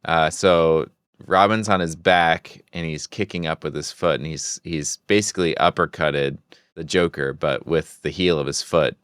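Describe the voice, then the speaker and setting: A man's voice: extremely low pitch, steady tone, normal speed, fairly bright tone, clean tone, no echo, studio quality. A man co-hosting a podcast, recapping comics in a casual, conversational, explanatory way. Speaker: podcast co-host.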